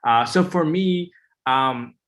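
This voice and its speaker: A young man sharing his story as a webinar panelist over a video call, earnest and halting with frequent ums and uhs. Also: fairly high pitch, a bit bright.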